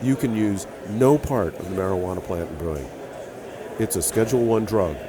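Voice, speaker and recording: A man's voice: on the low side, normal speed, noisy background. A man with a Hawaiian accent, a brewer and author telling stories as a guest in a casual interview.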